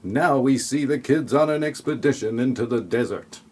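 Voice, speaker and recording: A man's voice: medium pitch, normal speed, darkish tone, slightly rough. A man reading scripted comic narration for an audio play in a wry, flippant way.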